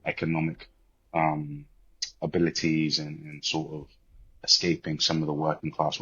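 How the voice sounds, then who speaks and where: A British man in his twenties with an extremely deep, husky voice. He speaks as a podcast guest, measured and reflective with rather formal wording. The recording is dull and muffled. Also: pushed and bright. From born in Liberia, grew up in the UK. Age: 20s. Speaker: podcast guest, corporate banker. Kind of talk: reflective interview talk.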